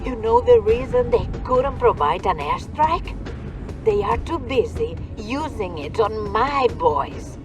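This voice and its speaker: A woman's voice: a little high, expressive, takes it slowly, over music. A woman playing a taunting, condescending mercenary woman in a video game, with a teasing manner. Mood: sly, provocative. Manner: teasing, condescending, peppers speech with endearments. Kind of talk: video game cutscene acting.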